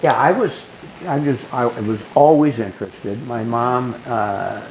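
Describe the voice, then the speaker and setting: An older man with a low, growly bass voice, talking casually in a group and rambling through stories with many restarts. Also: unhurried, slight background noise, poor audio. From Southern California. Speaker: guest being asked questions.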